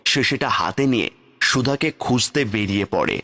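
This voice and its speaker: A man narrating a cartoon fairy tale in steady, plain scripted storytelling. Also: fairly low pitch, moderate pace. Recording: faint background music. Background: faint music.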